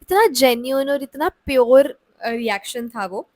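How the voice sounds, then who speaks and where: A woman's voice: high-pitched, expressive, unhurried, no room sound, clean studio sound. Chatty young woman narrating a vlog. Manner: chatty Hinglish storytelling. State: sore throat and cold. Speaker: vlogger. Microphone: close voice-over mic.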